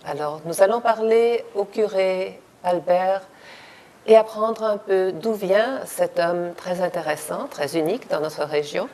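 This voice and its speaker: A woman hosts a local TV show in a slightly low voice. She sounds warm, enthusiastic and chatty as she talks to her guest. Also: measured pace, a little hiss or hum. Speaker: TV host. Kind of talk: community TV interview.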